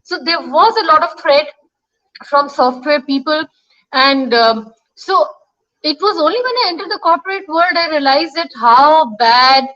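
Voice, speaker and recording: A woman in her fifties from India, a guest on a video call, speaking in a measured way with hesitant fillers. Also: high-pitched, animated, a bit bright. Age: 50s. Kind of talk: casual video call discussion. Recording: dull lo-fi video call audio.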